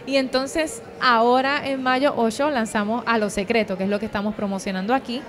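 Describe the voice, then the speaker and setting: A woman's voice: a little high, fairly even intonation, brisk, noisy background. A young woman with a Puerto Rican accent giving an earnest, hesitant personal testimony in an interview, on a handheld microphone. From Puerto Rico. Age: young adult. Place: convention booth. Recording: crowd chatter at event.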